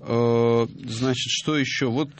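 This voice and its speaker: A middle-aged man hosting a music radio show, talking casually and hesitantly, with many drawn-out filler pauses. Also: medium pitch, fairly even intonation, a little hiss or hum. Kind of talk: casual radio talk reading listener messages.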